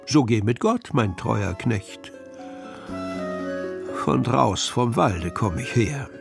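Man, unhurried delivery, theatrical storytelling, children's verse recitation, clear music behind. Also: medium pitch, lively intonation. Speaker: poetry reader. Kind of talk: expressive recitation of Christmas poems for children.

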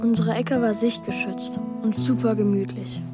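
A woman's voice: on the high side, fairly even intonation, moderate pace, loud music behind the voice, lightly acted. A woman playing an anxious, sceptical young boy who acts and narrates in a children's radio play, sounding hesitant. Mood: reflective narrating. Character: anxious, doubting young boy, narrating his runaway adventure.

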